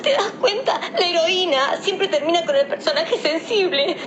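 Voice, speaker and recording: A woman's voice: very high-pitched, expressive, moderate pace, muffled recording, background noise. A woman playing a melodramatic, indignant young daughter in comic radio theatre, whiny and theatrical. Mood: sobbing dismay. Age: young adult.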